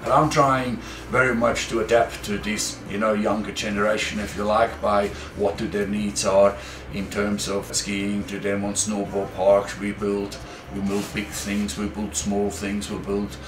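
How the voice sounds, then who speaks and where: A middle-aged man, a mountain manager talking in an interview in a relaxed, rambling way. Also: lowish voice, measured pace, hardly any pauses, noisy background. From Switzerland. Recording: distant echoey mic.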